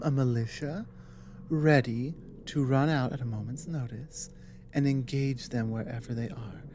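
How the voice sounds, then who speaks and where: A woman acts out an earnest dragon woman in an intimate ASMR audio drama. Her voice is low and mellow, and she advises gently and very slowly, pausing often as she chooses her words. A faint noise sits behind her. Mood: grave, earnest. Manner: soft, hesitant, deliberate, with long pauses. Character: earnest dragon woman, careful war advisor and tender lover.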